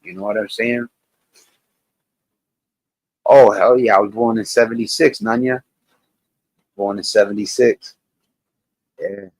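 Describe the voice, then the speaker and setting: A man's voice: lowish voice, steady tone, unhurried, long pauses, darkish tone, husky, no echo, low-quality recording. A man in his late forties, hosting a channel, rambling through casual reaction commentary and preachy life advice. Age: 40s. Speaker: channel host.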